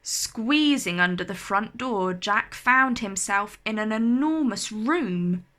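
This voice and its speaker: A woman reading a children's storybook aloud in an expressive, animated, carefully enunciated way. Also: medium pitch, takes it slowly.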